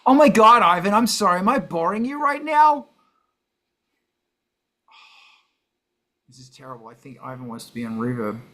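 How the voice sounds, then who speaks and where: A man's voice: high-pitched, very animated, measured pace, pauses often, bright, forceful tone. A man hosting a casual chat in a brash, sweary, self-mocking grumpy way. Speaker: host.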